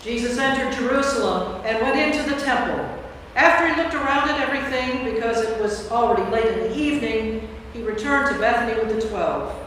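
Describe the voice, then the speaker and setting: A woman's voice: on the high side, a little fast, fairly bright tone. Distant woman narrator in a cavernous echo. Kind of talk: scripted staged Bible reading. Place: large reverberant church. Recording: echoey church from far off, faint noise.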